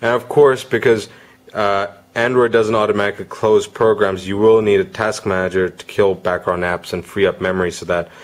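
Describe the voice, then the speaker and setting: Male product reviewer, lower-pitched, casual and unscripted, slightly halting delivery with mid-sentence rephrasing. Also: fairly even intonation.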